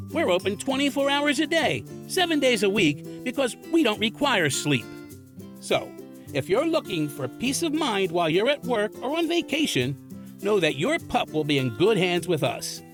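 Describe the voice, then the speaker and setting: Wildly swooping, salesy daycare owner. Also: male voice, high-pitched, sing-song, unhurried. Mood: upbeat salesy. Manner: salesy, exaggerated swooping emphasis. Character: cheery Mister King, alien dog-daycare owner doing an ad. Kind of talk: over-the-top local commercial pitch. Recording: music bed under the voice.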